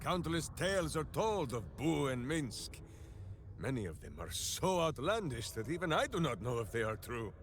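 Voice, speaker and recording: An actor playing a big, simple-hearted heroic warrior in a video game, righteous and grandiose, speaking in a theatrical manner. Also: male voice, mid-range voice, sing-song, normal speed, a little hiss or hum. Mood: resolute, boastful. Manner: theatrical, grandiose, speaks of himself in third person. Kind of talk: video game character acting.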